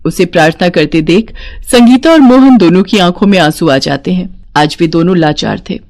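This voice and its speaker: A woman's voice: fairly low pitch, moderate pace, a little mellow. A woman storyteller reading a scripted children's moral tale.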